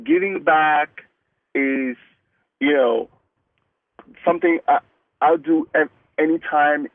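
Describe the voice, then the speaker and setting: A man's voice: a little high, no echo. A man from Cameroon, whose first language is Mungaka, talking earnestly as an interview guest and often restarting his sentences. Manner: earnest, halting with many restarts. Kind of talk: casual interview talk. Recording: dry, tinny phone-like line.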